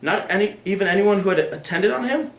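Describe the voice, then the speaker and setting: A man's voice: high voice, fairly quick, a bit bright, pitched above the performer's own voice. A young man voicing a haughty, languid aristocratic lady in a dramatic classroom reading. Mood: curious. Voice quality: lofty, languid. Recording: echoey room.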